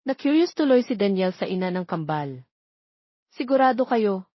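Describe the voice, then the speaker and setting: A woman's voice: on the low side, very animated, brisk, dry recording, lo-fi sound. A woman narrating a scripted romance audio story.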